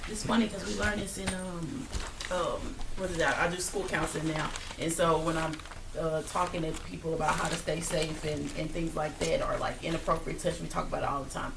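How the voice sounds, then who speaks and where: A woman's voice: low-pitched, brisk, vocal fry, background noise. A middle-aged woman school counselor talking expressively as a guest in a small studio. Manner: lively, expressive. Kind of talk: casual talk show chat. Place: small studio lounge, indoors. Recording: roomy, a bit distant.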